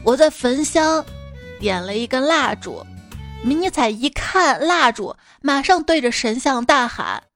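A woman's voice: high voice, lively intonation, measured pace, fairly bright tone. A woman speaking as a mother and comic storyteller, in playful, coaxing scripted chat addressed to a child. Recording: background music under the voice.

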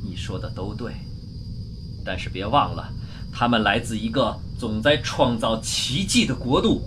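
A man's voice: lowish voice, normal speed, natural voice. A man playing an earnest, anxious, hopeful astronaut in a science-fiction radio drama. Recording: clear ambient noise behind voice.

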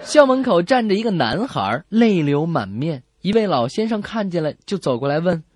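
A woman's voice: fairly low pitch, normal speed, over a noisy crowd, heavy background noise. A woman co-hosting a comedy radio show, narrating jokes expressively and acting out the characters. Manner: expressive storytelling, acts out characters. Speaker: co-host. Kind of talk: comedy radio joke-telling.

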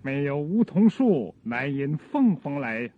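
A theatrical gentleman scholar, high and lilting with a husky grain. He speaks slowly in ornate phrases, teasing and effusive, on a phone-like period radio drama recording. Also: sing-song, heavily acted. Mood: admiring, playful. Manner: theatrical, florid classical phrasing.

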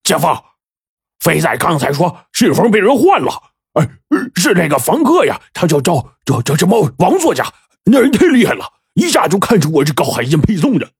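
A man's voice: high-pitched, sing-song, fairly quick, husky, no room sound, studio quality, voice pushed up, full character voice. A man voicing a dim-witted young male sidekick who stammers and gets flustered in a spoken story. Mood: excited.

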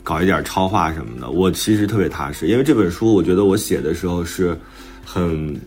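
A man's voice: deep voice, animated, fairly quick, a little mellow, soft background music. A man talking casually and reflectively on a podcast as host and author, with some playful teasing. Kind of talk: casual chat about own new book.

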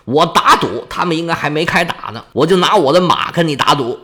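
Man playing a lively, teasing army general. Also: fairly high pitch, fairly quick. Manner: easygoing, teasing banter. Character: confident, joking male second general, fond of wagers.

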